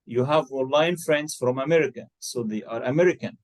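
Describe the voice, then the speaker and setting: A man's voice: mid-range voice, a little fast. A man, teaching an English lesson and patiently coaxing and correcting his student's answers. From Egypt. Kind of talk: one-to-one online English lesson.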